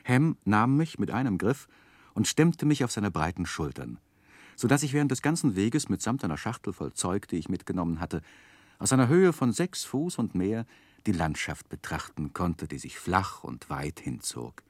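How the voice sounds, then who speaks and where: A man narrating a 1960s radio play as a literary storyteller, measured and expressive. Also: fairly low pitch, no room sound.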